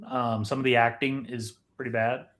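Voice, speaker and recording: Measured man in casual podcast chat. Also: medium pitch, level tone, a bit bright. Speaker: podcast co-host.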